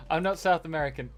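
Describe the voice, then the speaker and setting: A young man hosting a casual live stream while playing a game, rambling and answering chat messages. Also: on the high side.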